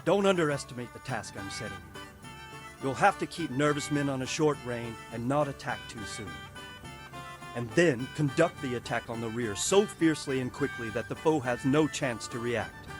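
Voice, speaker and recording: A man's voice: mid-range voice, lively intonation, over music, close to an everyday voice. A man playing a stern wounded lord. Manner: authoritative, measured briefing. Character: wounded, stern male lord commanding a siege. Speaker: military commander.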